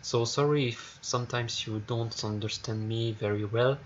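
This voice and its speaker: This is a man with a French accent, hosting a casual game review in English with a mid-range pitch. He stops often to hunt for words, filling the gaps with "uh" and repeated starts. The recording is a bit dull, with a faint hiss underneath. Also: steady tone. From France. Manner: halting, searching for words, many fillers and repeated starts. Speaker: gaming YouTuber.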